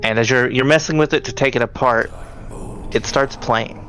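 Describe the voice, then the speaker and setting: A man running a tabletop horror roleplay as game master, halting and repeating words as he goes. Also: medium pitch, fairly bright tone, soft background music, low-quality recording.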